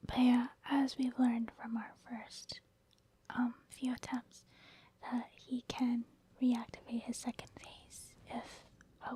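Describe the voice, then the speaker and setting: A woman giving soft ASMR commentary over video game play, informal and hesitant as she thinks aloud. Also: on the high side. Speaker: gaming ASMR video host.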